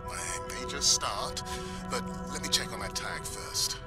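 A man's voice: medium pitch, a little slow, natural voice. An actor playing a large, passionate, brooding man who works as a conservation scientist in a video game. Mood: serious, measured. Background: music.

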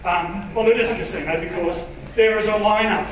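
A man's voice: high voice, fairly even intonation, fairly quick. A middle-aged man preaching informally as a pastor, joking and putting questions to his listeners. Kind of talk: conversational sermon to a congregation. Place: church auditorium stage. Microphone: handheld microphone through PA, far camera. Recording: echoey hall PA, distant, faint noise.

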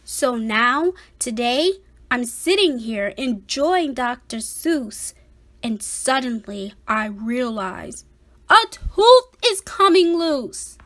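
A woman voicing an anxious, dramatic five-year-old boy in an expressive picture-book read-aloud, with emphatic, theatrical delivery. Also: fairly high pitch, slow, full character voice. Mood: scared, fretful.

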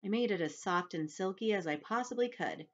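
A woman voicing a gentle, motherly female spider with courteous delivery, for a storybook. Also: mid-range voice, lively intonation, fairly quick, lo-fi sound, pitched above the performer's own voice, natural voice.

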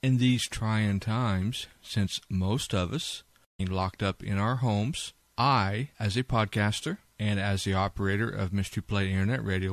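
A man hosting a podcast, delivering a scripted intro and appeal in a deliberate, earnest announcing manner. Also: on the low side, no echo. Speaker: podcast host and internet radio operator.

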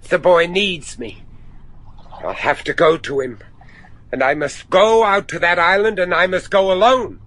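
An actor playing an older, devout judge and anguished father in a gothic horror radio drama, speaking gravely and haltingly with theatrical weight. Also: high voice, fairly bright tone, dry recording, rough recording. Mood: troubled, resolute. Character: anguished, devout judge and father seeking his lost son.

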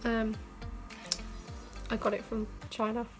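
Croaky young woman, slow and flat. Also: fairly high pitch, over loud music. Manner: chatty, self-mocking, rambling with fillers. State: croaky, stuffed up with a cold. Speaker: beauty vlogger. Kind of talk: casual makeup tutorial chat. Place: bedroom, indoors. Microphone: camera mic at arm's length.

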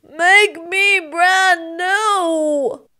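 A woman voicing a cold, shivering snowman from a children's storybook, with a quavering, drawn-out, exaggerated delivery. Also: very high-pitched, sharp tone, pitched above the performer's own voice, full character voice. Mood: pleading.

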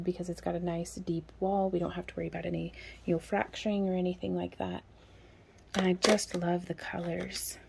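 Woman, lowish gravelly voice, rambling show-and-tell shop chat, noisy home recording. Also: warm tone. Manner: chatty, rambling, laughs often. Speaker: craft shop owner. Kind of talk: casual product show-and-tell. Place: home craft workspace, indoors.

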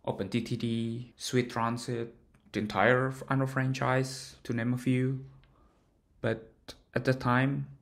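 A man's voice: mid-range voice, vocal fry. A young man narrating his own game devlog in a plain, earnest way, with some non-native phrasing. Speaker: indie game developer.

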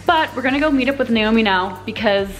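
A woman's voice: a little high, lively intonation, quick pace, reverberant, low-quality recording. A young woman in her twenties chatting casually to a handheld camera in her apartment, bubbly and giggly. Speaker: vlogger. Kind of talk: casual vlog chat to camera. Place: apartment and friend's kitchen, indoors. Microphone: handheld camera at arm's length. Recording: loud music and chatter behind.

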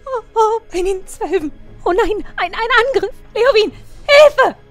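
Very high-pitched, shrieky, frightened fantasy damsel. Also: female voice, expressive, measured pace, soft background music. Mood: panicked. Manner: shrieky, dramatic, flustered. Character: frightened, squeamish young woman in a fantasy tale. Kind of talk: fantasy audio drama acting.

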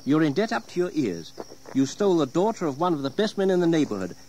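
Casting: world-weary, scolding gentleman father, expressive intonation, radio play. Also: a little high, fast, slight background noise, low-quality recording. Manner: reproachful, formal. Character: stern, weary gentleman father scolding his wayward son. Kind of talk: audio drama acting.

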